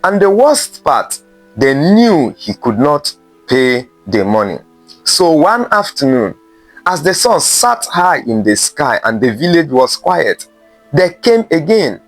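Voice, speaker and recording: Man, scripted folktale narration, slightly high voice, lively intonation, dramatic pauses, music underneath. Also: no echo, rough recording. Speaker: storyteller.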